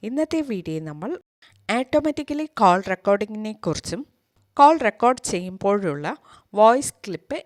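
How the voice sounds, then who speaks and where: Woman, Malayali accent, animated melodic delivery, step-by-step tech tutorial, host narration. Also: mid-range voice, normal speed.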